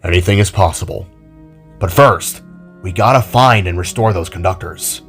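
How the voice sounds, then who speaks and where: Calm scarred big brother, low and measured, read by a man for an audio drama. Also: creaky, husky, soft background music, exaggerated voice. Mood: earnest. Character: scarred older brother, earnest and composed.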